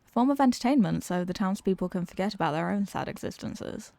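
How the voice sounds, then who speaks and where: A woman acts out a female supervillain in a comedic audio roleplay. She is theatrical and sarcastic, an exasperated schemer tired of being evil. Her mid-pitched voice sits close and dry, with no room around it. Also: animated, fast, creaky, warm tone, no room sound. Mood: resigned griping. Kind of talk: comedic ASMR roleplay monologue.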